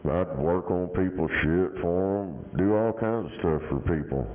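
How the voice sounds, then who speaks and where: Deep low-pitched man, crude mocking banter, tinny radio transmission. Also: animated, measured pace, soft background music. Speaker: CB radio talker. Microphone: radio handset.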